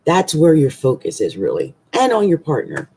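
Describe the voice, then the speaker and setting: A middle-aged woman astrologer with a hoarse voice, giving a casual forecast from a small room at home into a webcam, rambling with many fillers and restarts. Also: low-pitched, wildly swooping pitch, normal speed, warm tone, slight background noise. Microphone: webcam at desk.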